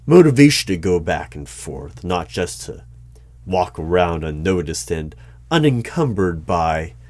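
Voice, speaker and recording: A man playing a formal, easily flustered hiring manager in an ASMR roleplay, speaking in measured, halting phrases. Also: sits low, a little mellow, no echo. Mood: polite, formal. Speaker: performer of a one-sided roleplay. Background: computer keyboard typing.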